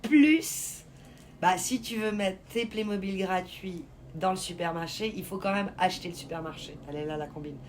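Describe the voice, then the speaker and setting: A woman's voice: medium pitch, normal speed, a little hiss or hum. A woman with a Swiss French accent, bantering and laughing in a casual live-stream chat and greeting viewers.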